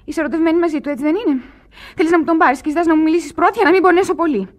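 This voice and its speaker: An actress playing an impulsive, naive, lovestruck girl of twenty in a Greek radio theatre production. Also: extremely high pitch. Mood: jealous, suspicious.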